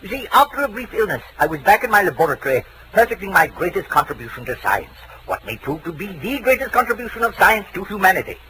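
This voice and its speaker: Mad scientist, agitated, fussily learned, lively rise and fall, vintage radio drama, heavy noise. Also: male voice, sits high, fast, hardly any pauses. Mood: proud, agitated. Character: excitable, wild-eyed eccentric mad scientist inventor. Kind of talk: 1940s radio acting. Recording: noisy vintage 1940s radio broadcast.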